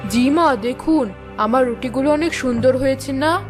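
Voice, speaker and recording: Woman as boastful cartoon daughter-in-law, high-pitched, smug, mocking, competitive, soft music behind. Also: moderate pace, natural voice. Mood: eager. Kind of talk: animated fairy-tale cartoon voice acting.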